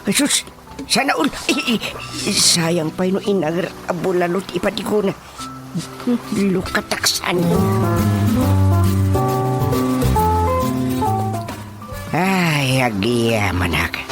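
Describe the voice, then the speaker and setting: A man's voice: high-pitched, big pitch swings, measured pace. Flustered, wildly swooping Ilocano chairman. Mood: flustered, eager. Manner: exclamatory, stammering, muttering to himself. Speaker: radio drama actor. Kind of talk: comic radio drama acting. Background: prominent music.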